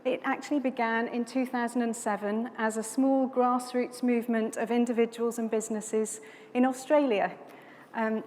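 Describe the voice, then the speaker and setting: A woman from South Wales giving a sermon as a guest speaker, in a measured, reflective way, phrase by phrase. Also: a little high, a little mellow. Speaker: guest preacher from a conservation charity. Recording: long hall reverb, background noise.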